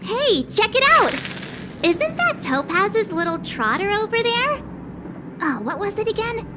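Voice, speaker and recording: Very high-pitched bubbly teenage girl. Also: very animated, a little slow, background noise, heavily acted. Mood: curious, playful. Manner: bubbly, playful. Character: curious, cheerful, excitable teenage girl. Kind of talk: video game voice acting.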